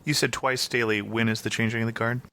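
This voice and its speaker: A man hosting a podcast, presenting and interviewing in a clear scripted-radio style. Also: medium pitch, little pitch movement, fast, pushed and bright, a little hiss or hum. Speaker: podcast host.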